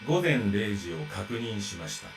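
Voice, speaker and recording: An actor voicing a formal android reciting system reports in a Japanese visual novel, clipped and procedural, with a Japanese accent. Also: male voice, fairly low pitch, big pitch swings, fairly quick, faint music behind, rough recording.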